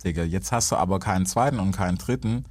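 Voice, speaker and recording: A man's voice: low voice, quick pace, darkish tone, no room sound. A young man hosting a casual radio interview, talking in loose, slangy street style. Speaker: radio show host.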